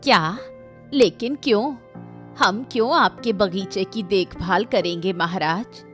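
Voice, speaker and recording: A woman's voice: mid-range voice, a little slow, faint music behind, close to an everyday voice. A woman voicing an anxious, stubborn, complaining housewife and mother in a Hindi cartoon story. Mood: reluctant, protesting.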